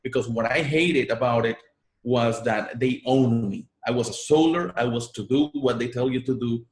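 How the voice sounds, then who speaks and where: A man's voice: mid-range voice, moderate pace, echoey room. A man from Puerto Rico with a Puerto Rican Spanish accent, Spanish his first language, telling his story as an interview guest in an earnest way, often repeating words. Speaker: guest, online marketer.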